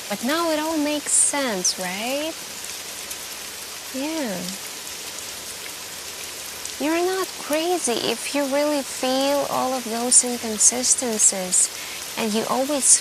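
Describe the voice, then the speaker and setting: A woman acting as an anxious, secretive hacker friend in a sci-fi ASMR roleplay. She speaks softly and very slowly, halting as she confides, with a protective edge. A clear hiss like rain runs behind her voice. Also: fairly high pitch. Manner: hesitant, halting, hushed and confiding. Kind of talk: soft-spoken ASMR roleplay.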